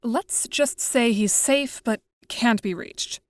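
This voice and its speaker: A woman's voice: a little high, noisy background. A woman voicing a composed, wry, articulate teenage girl in an audio drama, with formal, dry delivery. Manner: formal, dry, measured wording. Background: music.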